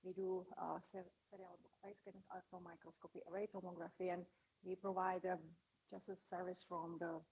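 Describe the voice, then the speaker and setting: Very breathy husky woman, 40s, flat lowish voice, lots of uh's. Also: brisk, dull audio. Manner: hesitant, many uh fillers. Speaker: electron microscopy facility head. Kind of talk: panel introduction. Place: conference hall at a forum.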